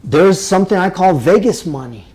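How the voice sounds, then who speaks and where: Male workshop instructor, upbeat and pitched a touch high, keeps checking in with the room. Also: normal speed. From Romania. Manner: energetic, checks in with "right?" constantly. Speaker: public speaking instructor. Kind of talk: live workshop talk to a group.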